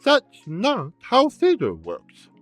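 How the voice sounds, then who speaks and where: A slow, deliberate actor voicing a fussy pedant in an audio drama. The pitch swings widely, with precise, logical phrasing over faint music. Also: huge pitch swings, a bit bright, no room sound, full character voice. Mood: incredulous, pedantic.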